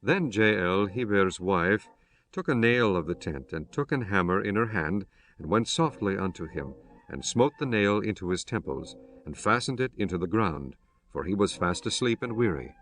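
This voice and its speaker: A man narrating scripture from a script in a measured, solemn manner with archaic biblical wording. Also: on the low side, expressive, fairly bright tone. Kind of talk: scripted scripture narration, King James Bible.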